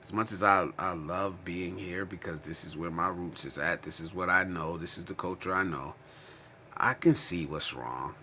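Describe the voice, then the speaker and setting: A man's voice: low-pitched, steady tone, normal speed, bright, forceful tone, slight background noise. An American man chatting casually as a livestream host, slangy and informal, repeating phrases for emphasis. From Connecticut, United States. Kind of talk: casual chat, livestream commentary answering viewer comments.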